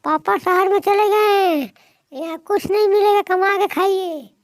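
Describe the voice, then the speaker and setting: A woman's voice: very high-pitched, a little slow, no room sound. A woman voicing a headstrong young married daughter in a moral-story cartoon, pleading and scolding in plain words. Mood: reproachful.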